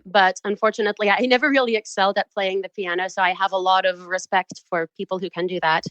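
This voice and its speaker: A woman, a professional opera singer, explaining her craft in a relaxed podcast interview, precise and articulate. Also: medium pitch, fast, dry recording, highs cut off. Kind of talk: casual interview talk.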